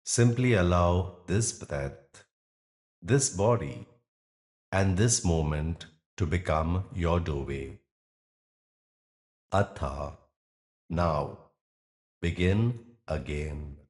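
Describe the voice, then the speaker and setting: Deep, very slow male meditation guide. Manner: gentle, unhurried instruction with long pauses. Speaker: yoga nidra teacher guiding a practice.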